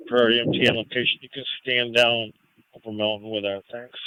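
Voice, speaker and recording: A man's voice: mid-range voice, little pitch movement, fairly quick, clear, resonant tone. A man working as an emergency responder, making a brief report to dispatch over two-way radio. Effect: two-way radio transmission. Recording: dull band-limited radio scanner audio.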